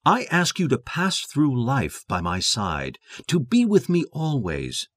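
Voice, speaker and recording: An actor playing a brooding, passionate gentleman in love, with theatrical, intense delivery in a dramatized classic novel. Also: mid-range voice, wildly swooping pitch, a little slow, no echo, studio quality. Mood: ardent pleading.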